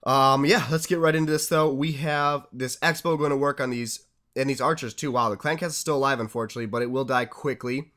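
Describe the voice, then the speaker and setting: A young man with a stuffed-up, congested voice talks through his strategy game replays at a middle pitch. He keeps it casual and chatty, dropping tips and upbeat asides as a channel host. It sounds like a home desk-mic voice-over. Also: fairly even intonation, fairly quick, a bit bright.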